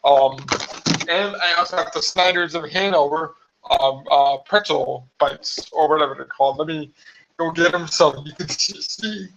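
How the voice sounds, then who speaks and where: A hoarse man chatting casually on a live stream from home, rambling with many fillers. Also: on the high side, normal speed, fairly bright tone. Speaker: vlogger hosting a live stream. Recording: slightly dull lo-fi live stream audio.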